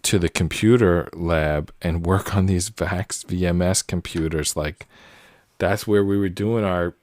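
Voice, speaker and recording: Late-forties male host, deep voice, relaxed filler-heavy musing, dry recording. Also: a little slow, no room sound. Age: 40s. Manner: rambling, relaxed, many fillers and tangents. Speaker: puzzle channel host. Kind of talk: casual solo commentary while solving a puzzle.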